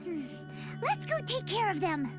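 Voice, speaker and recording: A woman voicing a tiny, excitable childlike girl companion in an animated game style. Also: extremely high pitch, a little slow, music in the background, heavily acted. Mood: worried. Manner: childlike, excitable, speaks of herself in third person.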